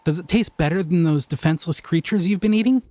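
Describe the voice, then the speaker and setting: A man voicing a gentle, curious male slime creature in an audio roleplay, talking sweetly and bashfully. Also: on the high side, quick pace, lightly acted. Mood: tender.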